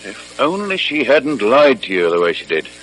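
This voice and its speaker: Brisk British police superintendent. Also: male voice, mid-range voice, sing-song, fairly bright tone. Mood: brisk, musing. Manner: brisk, pointed, authoritative. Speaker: actor in radio mystery. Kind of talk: old-fashioned British radio drama acting. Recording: noisy vintage radio recording with hum.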